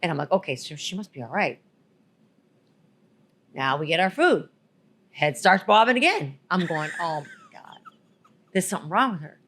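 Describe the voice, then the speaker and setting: Woman, podcast co-host, casual chat, excitable, laughs a lot. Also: lowish voice, moderate pace, pauses often, breathy edge.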